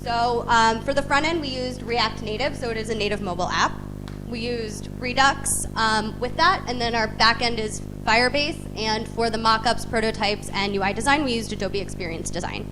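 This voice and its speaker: Woman in her thirties, from the US, higher-pitched presenter. Upbeat live demo. Clear background music. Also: normal speed, rough recording. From United States. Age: 30s. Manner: upbeat, demoing an app live. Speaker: bootcamp student presenter. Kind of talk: demo day product presentation. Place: event stage, live presentation.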